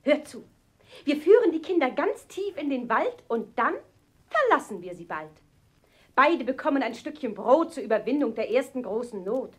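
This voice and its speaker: Eine Frau spielt in einem Märchenhörspiel eine hartherzige, herrische Stiefmutter, die scharf und befehlend redet. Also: female voice, high voice, sing-song, normal speed, husky, full character voice. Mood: scheming. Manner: sharp, commanding rhymed lines. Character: hard-hearted, bossy, scolding stepmother. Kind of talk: children's fairy-tale audio play.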